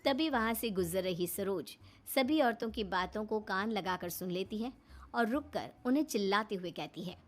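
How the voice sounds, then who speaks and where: A woman narrating a scripted animated moral story. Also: mid-range voice, moderate pace.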